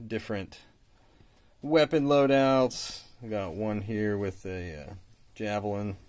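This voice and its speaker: A man in his thirties, a bit raspy from a cold, calmly talking through a miniature painting tutorial with plenty of fillers. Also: on the low side. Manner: relaxed, hesitant with fillers, explains while working. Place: hobby shop workbench indoors.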